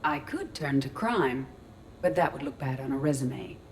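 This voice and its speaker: An actress playing a curious, literal ship's artificial intelligence in a video game, speaking precisely and formally with a deadpan delivery. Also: female voice, extremely low pitch, normal speed, slight background noise. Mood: measured, curious. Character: female ship artificial intelligence in android body, curious, literal, earnest. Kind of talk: video game dialogue acting.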